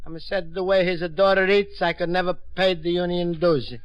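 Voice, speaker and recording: Man playing a fretful high-pitched Italian immigrant. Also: level tone, moderate pace, clear, resonant tone, no room sound, highs cut off, natural voice. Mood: gloomy, uncertain. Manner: stammering, broken English. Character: earnest, easily hurt Italian immigrant man, fretful and naive. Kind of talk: 1950s radio situation comedy acting.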